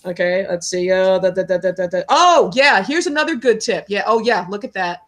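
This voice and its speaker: A woman in her fifties hosting a casual podcast, talking loudly and chattily, laughing often and swearing freely. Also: mid-range voice, expressive, a little fast. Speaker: podcast host.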